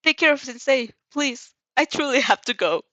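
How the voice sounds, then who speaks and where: A woman's voice: sits high, lively intonation, moderate pace, bright, forceful tone, no echo, voice pushed up. A woman voicing an earnest, loyal and polite teenage heroine in a story reading. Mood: apologetic, urgent.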